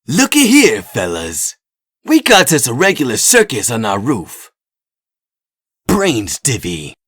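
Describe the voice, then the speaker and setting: A man playing a cocky street gang leader in a comic dub, with a husky, drawling, mocking delivery. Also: mid-range voice, wildly swooping pitch, takes it slowly, a bit bright, dry recording, studio quality, full character voice. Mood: mocking, menacing.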